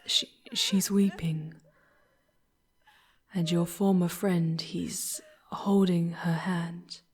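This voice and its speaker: A woman voicing a charming, tender, sorrowful female demon guide in a breathy, whispered ASMR roleplay, with halting, dramatic phrasing and long pauses. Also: lowish voice, very animated, exaggerated voice. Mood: hushed, mournful.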